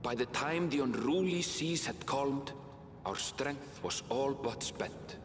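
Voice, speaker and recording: An actor playing a reflective young male scholar in a video game, narrating a voyage in measured, literary phrasing. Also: medium pitch, expressive, in a large hall, noisy background. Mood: reflective, solemn. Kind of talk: scripted dramatic narration.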